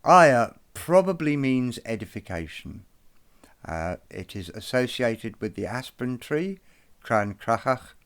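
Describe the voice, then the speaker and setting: Slow, measured man explaining a lesson. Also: medium pitch, very animated, a bit bright, clean tone. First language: not Irish. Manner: slow and measured, careful over pronunciations. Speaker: presenter of an educational video.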